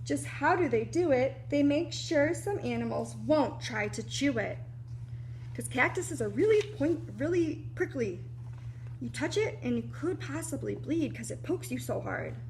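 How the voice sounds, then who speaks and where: A woman reading a children's picture book aloud like a preschool teacher, animated and explanatory, with little asides to young listeners. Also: high-pitched, a little slow, slight background noise.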